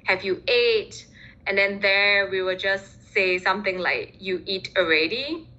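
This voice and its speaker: A woman's voice: mid-range voice, unhurried, pushed and bright. A young woman with a Singaporean English accent, speaking as an interview guest in casual talk, hesitant, with many fillers and restarts. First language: Mandarin Chinese. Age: young adult. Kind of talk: casual conversation. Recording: muffled lo-fi sound.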